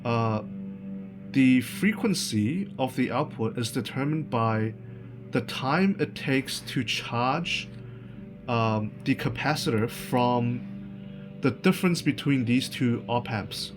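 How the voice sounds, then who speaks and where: Man explaining electronics haltingly over music. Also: medium pitch, animated. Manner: halting, thinking aloud. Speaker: electronics tutorial presenter. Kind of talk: informal technical explanation, unscripted.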